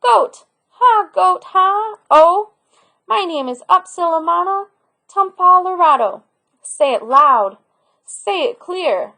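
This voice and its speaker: A woman's voice: extremely high pitch, lively intonation, slow, sharp tone, voice pushed up, full character voice, rough recording. A woman voicing a cheerful, eager young boy in a storybook, with a sing-song way of talking. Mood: eager, chanting. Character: cheerful young island boy, singing his long name.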